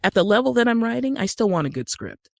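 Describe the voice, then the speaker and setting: An American man speaking conversationally as an interview guest, telling stories in an animated way. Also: very high-pitched, fast, gravelly, no room sound, studio quality. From Utah, United States. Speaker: podcast guest, actor and screenwriter.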